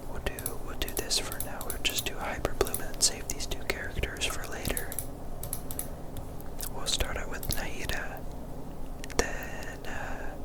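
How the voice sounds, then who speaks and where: A person whispering softly and casually in ASMR gameplay commentary, thinking aloud while playing, close to the microphone. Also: unhurried. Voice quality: soft unvoiced whisper. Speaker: gaming ASMR creator.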